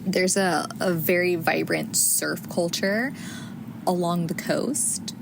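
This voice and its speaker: A woman's voice: fairly low pitch, fairly even intonation, slow pace, gravelly. A woman from the United States in her thirties, hosting a casual solo podcast, chatty with many fillers and restarts. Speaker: podcast host. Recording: audible background noise.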